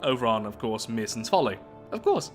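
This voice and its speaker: A man's voice: mid-range voice, very animated, brisk. A man hosting a game playthrough, reading event text aloud and adding casual asides as he reacts to what comes up. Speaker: gaming video host. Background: music.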